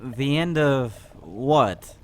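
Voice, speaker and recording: An awkward, skeptical teenage boy, played by a man, talking slowly and haltingly at a middle pitch. He stammers through comic dub dialogue, with noticeable noise behind him. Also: expressive. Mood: exasperated.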